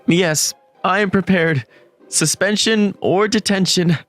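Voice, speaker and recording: A man plays an introverted teenage schoolboy narrating a manga romance. He is gloomy, lovestruck and quick to panic, putting himself down with dramatic flair. Music plays underneath. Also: sits high, fairly bright tone. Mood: resigned. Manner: self-deprecating, dramatic. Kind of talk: first-person manga story narration.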